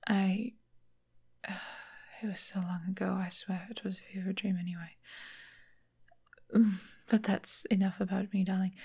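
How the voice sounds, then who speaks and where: A woman voicing a refined, affectionate vampire mistress in a soft, breathy ASMR roleplay whisper, gentle and soothing. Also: medium pitch, exaggerated voice. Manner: gentle, unhurried, genteel, affectionate endearments. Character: caring, refined female vampire mistress, long-lived doting lover.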